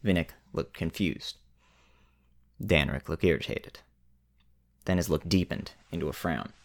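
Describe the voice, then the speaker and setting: A man narrating a scripted story in a measured way with dramatic pauses. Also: sits low, vocal fry.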